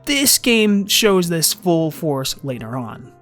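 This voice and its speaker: A man's voice: fairly high pitch, big pitch swings, takes it slowly, fairly bright tone, faint music behind. A man narrating an informal scripted fan video essay about a game, with emphatic, swinging phrasing.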